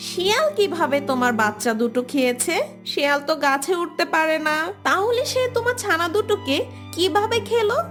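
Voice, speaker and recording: A woman's voice: extremely high pitch, animated, brisk, a bit bright, faint music behind. A woman voicing a concerned, inquisitive female crow in a cartoon, speaking in a questioning, sympathetic way. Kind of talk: cartoon character dialogue.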